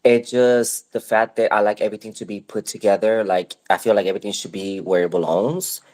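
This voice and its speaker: Guy w a spanish accent, kinda low voice, rambles n cuts himself off a lot. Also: steady tone, a little fast, darkish tone. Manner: rambling, self-interrupting, candid. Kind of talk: casual chat.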